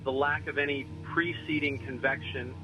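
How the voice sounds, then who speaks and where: A man playing a grave, foreboding weather scenario narrator, reading scripted lines in a solemn, formal, measured way. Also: mid-range voice, fairly even intonation, close to an everyday voice. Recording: dull lo-fi audio over music.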